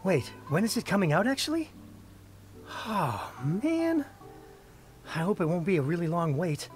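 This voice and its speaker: A man playing an excitable young fan in a video game, eager and expressive in his delivery. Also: high-pitched, measured pace, faint music behind. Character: excitable young male fan of fantasy novels.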